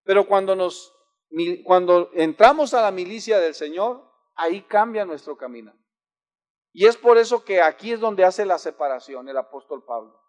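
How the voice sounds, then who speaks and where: A man's voice: high voice, expressive, moderate pace. A man preaching a sermon in an earnest, exhorting manner, often restarting his phrases. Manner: earnest, exhorting, with frequent restarts and varied emphasis.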